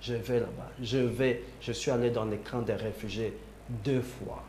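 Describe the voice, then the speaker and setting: A man's voice: medium pitch, fairly even intonation, unhurried, darkish tone. A man from DR Congo with a Congolese accent, speaking personally and insistently as a panelist in a political debate, often repeating his phrases. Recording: very echoey room with faint hum.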